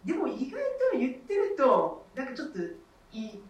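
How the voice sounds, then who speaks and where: Animated woman chatting in an echoey room. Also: fairly high pitch, normal speed, recorded from a distance. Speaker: theatre troupe member co-hosting a radio talk show. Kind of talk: casual, relaxed chat. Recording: echoey room, away from mic.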